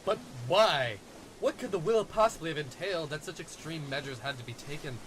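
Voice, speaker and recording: A man's voice: a little high, expressive, a little fast, background noise, natural voice. A man playing a pompous, dramatic eldest son who takes charge of the family in an amateur murder mystery audio drama. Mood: bewildered. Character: pompous eldest son Frank, self-appointed family head.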